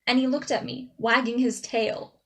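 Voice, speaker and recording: A woman performing a dramatic theater monologue as a traumatized young woman, with halting, emotional delivery and dramatic pauses. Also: fairly high pitch, flat delivery, a bit bright, natural voice. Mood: distressed. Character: traumatized young woman recounting a childhood dog attack.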